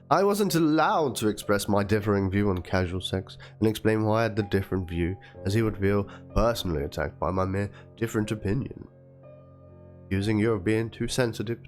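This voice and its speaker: Low-voiced man doing a dramatic reading. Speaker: narrator. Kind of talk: dramatic reading of a written document. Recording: music under the voice. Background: background music.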